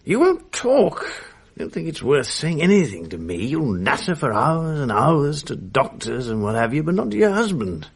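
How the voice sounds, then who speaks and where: Radio drama: dissipated English script editor, middle-aged, world-weary, blunt, gravelly and husky. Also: male voice, fairly high pitch, huge pitch swings, normal speed, dry recording, exaggerated voice. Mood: pained, earnest. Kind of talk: 1980s BBC radio drama acting.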